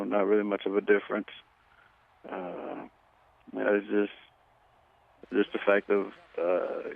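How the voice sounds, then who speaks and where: Over a narrow, tinny telephone line, a man in his twenties talks in a deep, low voice. He is an athlete being interviewed, speaking casually with hesitant phrasing and frequent pauses. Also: gravelly. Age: 20s. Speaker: pro football player being interviewed. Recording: tinny, band-limited phone line.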